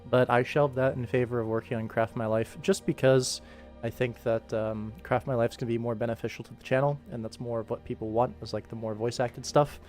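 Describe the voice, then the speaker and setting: A man with a mid-pitched voice hosts a livestream as an animator. He talks casually while he works, with faint music playing behind him. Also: flat delivery, brisk, darkish tone. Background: faint background music.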